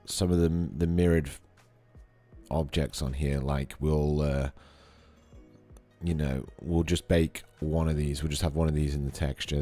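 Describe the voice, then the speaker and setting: A man with a slightly hoarse voice from a cold, thinking aloud with fillers as he streams a casual modeling tutorial at his computer. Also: rumbling low, dark tone. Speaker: 3D artist streaming a modeling session. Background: faint background music.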